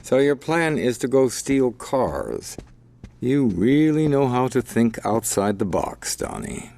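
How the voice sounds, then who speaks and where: A man playing a sly, sneering crime boss in a video game, speaking with slow, mocking menace. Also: medium pitch, sing-song, gravelly, mellow, full character voice. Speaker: gang's scheming partner. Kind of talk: video game cutscene acting.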